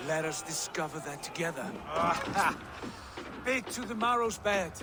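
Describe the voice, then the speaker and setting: A grandiose Viking warrior who exults in battle. His voice is high and slow, declamatory over noisy ambience. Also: lively intonation, full character voice. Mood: assured. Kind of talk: dramatic video game acting. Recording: noisy outdoor ambience behind.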